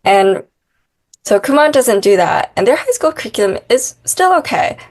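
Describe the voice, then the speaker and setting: A woman's voice: medium pitch, very animated, moderate pace, a little mellow. Young woman tutor, chatty filler-heavy talk. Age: young adult. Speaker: math tutor. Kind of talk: casual interview answers.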